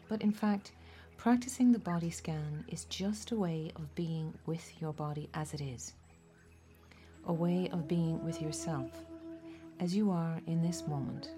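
Low-pitched woman guiding meditation over music. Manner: gentle, measured instruction with deliberate pauses. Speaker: guided meditation host. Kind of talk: guided body scan meditation.

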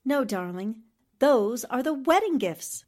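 Woman acting a poised, high-voiced lady sleuth. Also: very animated, measured pace, darkish tone. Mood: amused, assured. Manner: polished, arch, teasing endearments. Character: poised, shrewd, witty newspaperwoman amateur sleuth. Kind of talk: 1940s radio drama acting.

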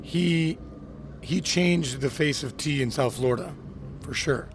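Animated man, ranting podcast host. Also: a little high, a little slow, background noise. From Miami. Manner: animated storyteller, ranting, acts out quotes. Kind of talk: casual podcast chat.

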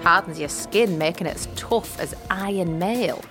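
A woman playing an old witch mentor in a fantasy audio drama, gruff but fond, with folksy archaic turns of phrase. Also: on the low side, moderate pace, fairly bright tone, soft background music. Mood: appraising, gruff praise.

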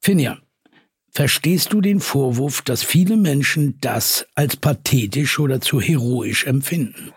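A man's voice: medium pitch, unhurried, slightly rough, dry recording, studio quality. A man playing a probing, sceptical journalist who hosts an interview podcast in an audio drama. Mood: sober, reporting. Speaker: podcast host.

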